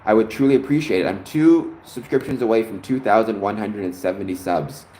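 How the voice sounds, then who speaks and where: A man with a very low voice hosts a casual livestream, talking through explanations and answering viewer comments as they come in. His voice rings in an echoey room with a faint hum of ambience behind him. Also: brisk, dark tone. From Canada. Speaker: livestream host answering chat.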